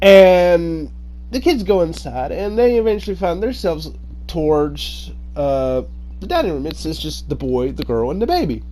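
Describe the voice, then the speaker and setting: A man's voice: a little high, animated, moderate pace, warm tone. A man telling a story informally as host, dramatic in his delivery and acting out the dialogue.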